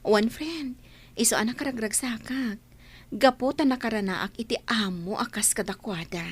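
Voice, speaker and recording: Devoted young mother, played by a woman: radio drama, Ilocano accent, highish husky voice, sincere delivery. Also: moderate pace. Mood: grateful, happy. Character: earnest, devoted young mother who works abroad.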